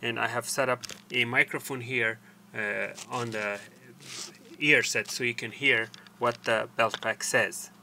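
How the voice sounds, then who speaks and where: Bright-voiced man giving a halting tech tutorial. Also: medium pitch, steady tone, gravelly, slight background noise. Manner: halting, deliberate step-by-step explaining. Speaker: tutorial presenter. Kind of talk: hands-on technical tutorial, explanatory. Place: small cluttered indoor workshop.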